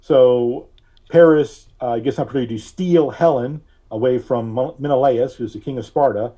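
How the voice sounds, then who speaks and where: A man being interviewed as a filmmaker, telling stories in an explanatory, conversational way with drawn-out pauses. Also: medium pitch, dark tone, highs cut off. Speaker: guest, filmmaker.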